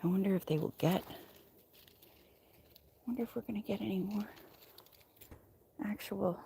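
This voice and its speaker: A woman's voice: mid-range voice, normal speed, gravelly, dark tone. A woman chatting casually and playfully on a livestream while out walking, with a wry, salty, rambling manner. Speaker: livestreamer hosting a walk-along. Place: outdoors on a neighborhood walk. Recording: noisy outdoor handheld recording.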